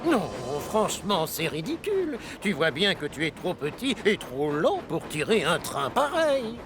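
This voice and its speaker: A grand express locomotive from a cartoon, haughty and emphatic. The pitch is high and swings wildly as he pronounces. Music is clearly heard behind him. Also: huge pitch swings, unhurried, full character voice. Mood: scornful. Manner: pompous, emphatic. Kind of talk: French cartoon dub.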